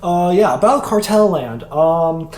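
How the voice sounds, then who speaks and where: A man's voice: on the high side, measured pace. Rambling young man, echoey room. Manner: rambling, animated, heavy use of "like". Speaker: podcast guest. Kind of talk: casual podcast chat. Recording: echoey room, faint hum.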